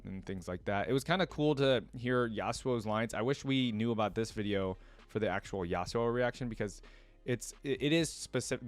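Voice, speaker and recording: A man hosting a reaction video, giving casual, rambling commentary on video game voice lines. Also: on the low side.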